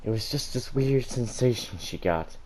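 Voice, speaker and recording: A man's voice: mid-range voice, lively intonation, normal speed, darkish tone, rough recording. A man reading fan fiction aloud as amateur storybook narration, stumbling and correcting himself as he goes. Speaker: fan fiction reader for a gaming channel.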